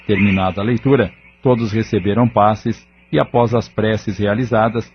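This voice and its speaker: A man's voice: on the low side, fairly even intonation, moderate pace, a little hiss or hum. A man reading scripted narration for an audio drama. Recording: dull lo-fi audio. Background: background music.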